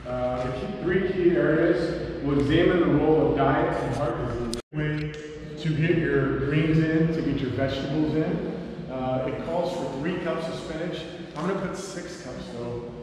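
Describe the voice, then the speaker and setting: A man's voice: a little high, a little mellow. A young man giving an informal cooking demonstration as the chef and instructor of a class. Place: large skylit hall with small seated audience. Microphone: far camera. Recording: echoey hall, distant mic, noisy.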